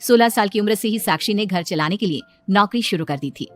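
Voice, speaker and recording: Woman narrating a story, medium pitch. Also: fairly quick, faint music behind.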